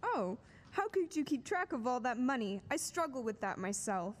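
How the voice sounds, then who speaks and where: Female radio interviewer, high and very animated, scripted skit, reverberant hall. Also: normal speed. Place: school auditorium stage. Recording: echoey hall.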